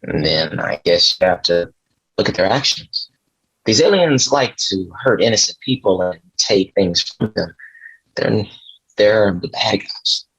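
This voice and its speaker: A man playing an awkward, earnest driver in a screenplay table read, speaking in a halting, gentle, explanatory way. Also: on the low side, animated, slightly rough, exaggerated voice. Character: awkward, earnest male driver Chaz, kindly conspiracy believer. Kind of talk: table read of a film script. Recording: dull, slightly muffled audio.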